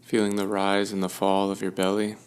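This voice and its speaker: Male meditation guide, deep and even-toned, smooth with a creaky edge, soothing, leaving space between phrases. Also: brisk. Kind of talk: guided meditation, gentle instruction.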